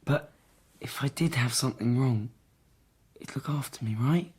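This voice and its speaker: Anxious teenage son, hesitant, confiding, radio play, dry close sound. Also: male voice, mid-range voice, normal speed, a little mellow, husky, dry recording. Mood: anxious, hushed. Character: awkward teenage boy, anxious son narrating his family. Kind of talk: radio play narration and dialogue.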